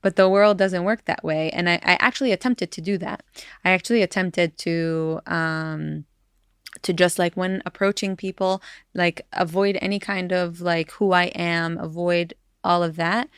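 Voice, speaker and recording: A woman's voice: lowish voice, fairly even intonation, normal speed, smooth, dry recording, clean studio sound. A Palestinian woman from East Jerusalem, a podcast guest talking in casual conversation, earnest, with many fillers and restarts.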